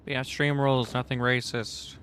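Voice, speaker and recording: A man chats casually as he streams a game. His talk rambles along in slangy, loose sentences. The mid-pitched voice sits in clearly audible background noise with small clicks. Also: level tone, normal speed, a bit bright. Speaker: game livestreamer. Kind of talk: casual gaming stream chat.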